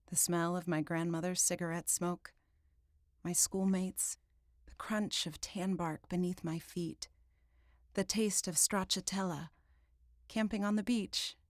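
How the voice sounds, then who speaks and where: Low-voiced woman narrating a novel. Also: dry recording, studio quality. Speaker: audiobook narrator. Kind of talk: scripted first-person fiction narration.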